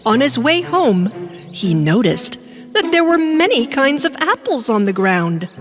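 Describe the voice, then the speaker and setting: A woman's voice: sits high, sing-song, measured pace. A woman narrating a scripted children's fairy tale as a storyteller. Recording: music behind the voice.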